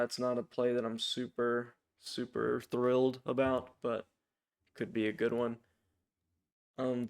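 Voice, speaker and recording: Flat-toned man, raspy from a sore throat. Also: mid-range voice. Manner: informal, hesitant, thinking aloud. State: raspy, sick with strep throat. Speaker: stock trading channel host. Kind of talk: casual, unscripted stock watchlist commentary.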